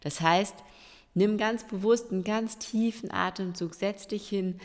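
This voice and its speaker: A woman of around forty, hoarse and stuffy-nosed, hosting a solo podcast and encouraging her listeners directly. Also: mid-range voice, steady tone, unhurried, darkish tone. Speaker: podcast host. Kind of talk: solo podcast monologue giving tips. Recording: long reverb with faint music.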